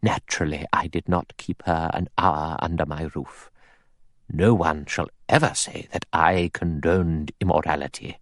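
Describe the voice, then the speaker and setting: A severe old spinster from a storybook, acted out by a man. She is creaky and softly spoken, with brisk, precise diction. Her manner is coldly pious and disapproving, and the sound is close and dry. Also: extremely low pitch, fairly even intonation, dry recording. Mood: serene disapproval. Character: prim, pious, severe elderly spinster, coldly self-righteous.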